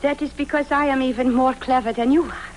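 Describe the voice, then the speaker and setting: Actress as cunning female spy, high precise voice, smug, vintage radio drama. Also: steady tone, fairly quick, lightly acted. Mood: smug, menacing. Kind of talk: 1950s radio drama acting. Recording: slightly noisy vintage radio recording.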